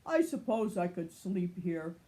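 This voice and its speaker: A woman's voice: fairly low pitch, big pitch swings, unhurried, dark tone, rough recording. A woman voicing a friendly, eager young male rescue puppy in a children's story, with kindly boyish exclamations. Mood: friendly, apologetic.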